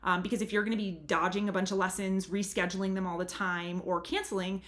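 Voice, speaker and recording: A woman's voice: medium pitch, little pitch movement, fast talker, fairly bright tone. A woman from the United States, a language tutor, giving chatty, earnest advice straight to the camera. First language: English. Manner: chatty and earnest, leans on "really" and "super". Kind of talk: casual advice talk to camera.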